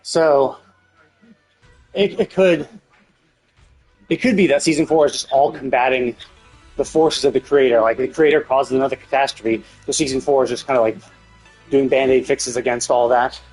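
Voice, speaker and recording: A man's voice: medium pitch, fairly even intonation, moderate pace, pauses often, darkish tone, husky, soft background music, low-quality recording. A man talking casually on a game livestream, thinking aloud in a rambling way and often repeating his words. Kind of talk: casual livestream commentary, speculating.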